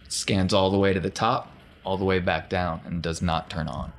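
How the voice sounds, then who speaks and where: A man's voice: deep voice, steady tone, moderate pace, vocal fry. A man improvising narration as game master of a tabletop role-playing game. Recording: faint noise behind voice.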